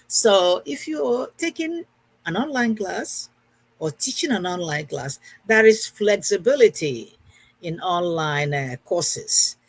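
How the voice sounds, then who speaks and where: A woman in her sixties talks through a casual recorded lesson as a math teacher. Her voice has a husky, slightly breathy edge. She circles back, repeating and rewording each point as she goes. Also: on the low side, expressive, slow pace, no echo. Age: 60s.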